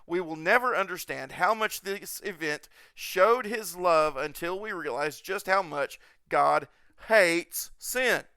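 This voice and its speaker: Bright, clear-voiced man hosting a podcast. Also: high-pitched, takes it slowly, dry recording. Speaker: podcast host.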